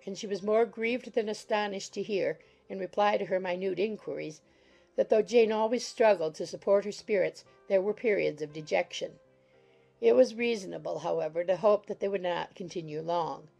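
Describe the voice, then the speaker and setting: A woman reading a classic novel as an audiobook narrator, in a formal, expressive storytelling manner. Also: medium pitch, moderate pace, gravelly, soft background music. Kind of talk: scripted literary narration.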